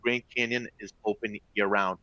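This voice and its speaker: A man's voice: fairly low pitch, fairly even intonation, normal speed, sharp tone, highs cut off. Upbeat Boston man giving a webinar. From Boston area, US. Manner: upbeat, keeps checking in with "all right?" and "folks". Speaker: travel company presenter. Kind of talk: live webinar presentation to travel agents.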